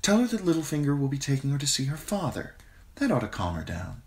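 A man with a deep voice putting on a poised adult queen who speaks softly and sweetly but with a sharp edge, his voice raised in pitch. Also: wildly swooping pitch, fast. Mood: commanding. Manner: soft, honeyed and controlled.

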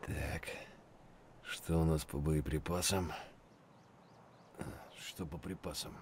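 An actor playing a gruff, wary, foul-mouthed male mercenary soldier in terse video game voice lines. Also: a bass voice, steady tone, slow, slight background noise. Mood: muttering.

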